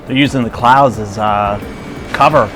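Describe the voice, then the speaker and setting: A man's voice: mid-range voice, moderate pace, music in the background, poor audio. A man giving light, casual commentary as he plays through a video game. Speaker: gamer, let's player.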